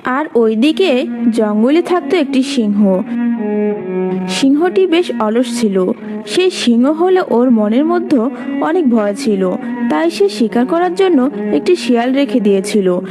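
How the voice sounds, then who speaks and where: High-voiced woman storyteller. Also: animated, unhurried, poor audio. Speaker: storyteller narrator. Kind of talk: scripted children's fable narration. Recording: music bed behind the voice.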